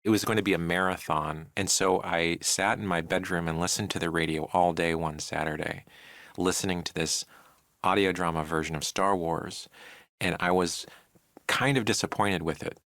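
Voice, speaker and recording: Creaky, low-voiced man in an interview. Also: fairly even intonation, moderate pace, a bit bright, dry recording, studio quality. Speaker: interviewee, audio drama producer. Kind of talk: reflective interview talk.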